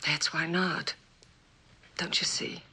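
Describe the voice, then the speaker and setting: Husky, pleading wife. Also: female voice, lowish voice, little pitch movement, slow pace, sharp tone, whispered, full character voice. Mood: torn. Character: unhappy wife, pleading, emotionally torn. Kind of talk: mid-century American suspense drama acting.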